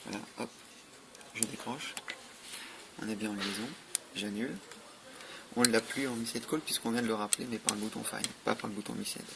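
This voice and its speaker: A man's voice: fairly low pitch, steady tone, normal speed, creaky, long pauses. A man giving an informal, matter-of-fact, step-by-step product demonstration. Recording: noisy amateur recording with clicks.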